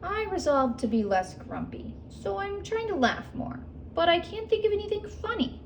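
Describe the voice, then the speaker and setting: A woman's voice: very high voice, big pitch swings, normal speed, slight background noise, pitched above the performer's own voice, exaggerated voice. A woman voicing a once-grumpy male porcupine in a storybook who now tells jokes. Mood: jokey.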